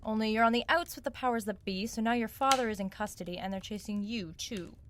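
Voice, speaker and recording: A woman's voice: a little high, quick pace, lightly acted. An actress as a wry young woman, noisy recording. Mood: frank, challenging. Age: young adult. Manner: wry, probing, sardonic. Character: sharp, sarcastic, resourceful young woman pressing for answers. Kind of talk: naturalistic audio drama acting.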